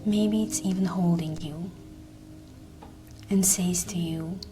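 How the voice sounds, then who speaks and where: A woman leads a guided meditation in a soft, slightly low voice. She speaks very slowly and gently, often close to a whisper, with a soothing, breathy delivery. Music plays clearly behind her words. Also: fairly even intonation. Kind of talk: slow guided meditation narration.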